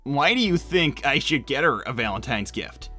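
A man's voice: medium pitch, big pitch swings, normal speed, loud music behind the voice, close to an everyday voice. A man playing a brash, casual, impatient young hedgehog hero in a fan-made cartoon. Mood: exasperated. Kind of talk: fan-made animated series voice acting.

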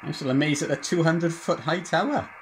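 A man's voice: fairly high pitch, fairly quick, a little hiss or hum, low-quality recording. A man commenting casually and wryly at his radio set at home. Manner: offhand, wry remarks. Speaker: radio amateur listening.

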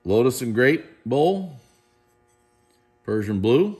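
Middle-aged male auctioneer, casual and enthusiastic, mid-pitched voice, camera mic in a big warehouse room. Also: big pitch swings, takes it slowly, a little mellow, breathy edge. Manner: enthusiastic, chatty, praises pieces. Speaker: auctioneer presenting lots. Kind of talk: casual walkthrough of auction items. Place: large indoor warehouse room.

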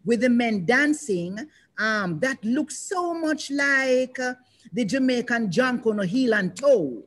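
An older woman moderating a panel discussion over a video call, speaking in a reflective, measured way with pauses and restarts. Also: a little high, animated, fairly bright tone. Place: indoors, in front of a banner.

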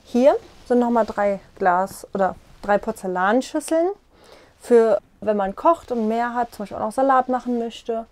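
Young woman, slightly high voice, casual tour-guide chat, lapel mic in a small caravan. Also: moderate pace, darkish tone. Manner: friendly, explaining as she walks and points. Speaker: vlogger giving a tour.

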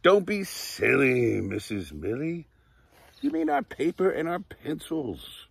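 A man voicing a class of young schoolchildren, speaking high and loud as they correct their teacher. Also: huge pitch swings, slow pace, gravelly, breathy edge, exaggerated voice. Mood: exasperated.

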